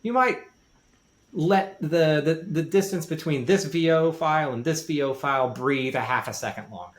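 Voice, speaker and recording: A man's voice: on the high side, normal speed. A man talking casually and explaining in detail, often repeating and rephrasing for emphasis. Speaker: podcast guest with a music background. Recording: roomy, echoey sound.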